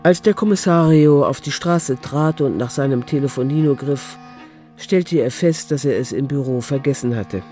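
A man's voice: mid-range voice, moderate pace. A man narrating a radio play in scripted, literary prose. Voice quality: dark, mellow. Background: faint music.

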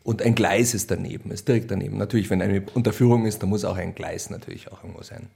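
A man's voice: deep voice, steady tone, fast talker, creaky, warm tone. A man with a Bavarian accent answering interview questions in a wry, colloquial, conversational way. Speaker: radio play author and director being interviewed.

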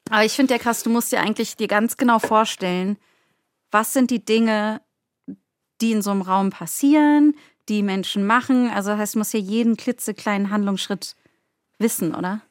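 A woman's voice: medium pitch, normal speed, dry recording. A woman interviewing in a casual, curious, informal way in a studio. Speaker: interviewer, co-host. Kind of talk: casual chat. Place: radio drama studio.

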